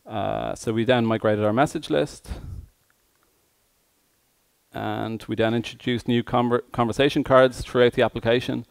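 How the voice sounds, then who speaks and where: A man giving a tech conference presentation as an engineer, speaking hesitantly with frequent pauses mid-phrase. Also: mid-range voice, fairly even intonation, creaky, smooth, dry recording.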